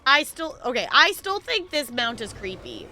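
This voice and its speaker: Bright chatty woman gaming on stream, rambles a lot, says like constantly. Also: fairly high pitch, huge pitch swings, normal speed, soft background music. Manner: rambling, chatty, frequent "like" and laughs. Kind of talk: casual gaming stream chat.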